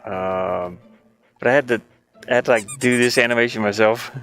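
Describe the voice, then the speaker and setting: A man's voice: medium pitch, moderate pace, fairly bright tone, soft background music. A man casually thinking aloud in an amused, rambling way while commentating on his own game-building screen share. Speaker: indie game developer.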